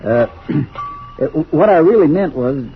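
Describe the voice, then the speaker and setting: A man acting in a radio drama as a folksy, eager fire lookout, husky and plain-spoken with a hesitant, homespun manner. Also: fairly high pitch, expressive, slow, soft background music. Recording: dull old radio drama recording.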